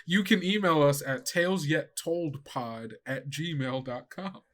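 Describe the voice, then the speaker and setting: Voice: mid-range voice, measured pace, a bit bright. A game master hosting casually and narrating an improvised story, with a playful, teasing manner. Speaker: game master and podcast host.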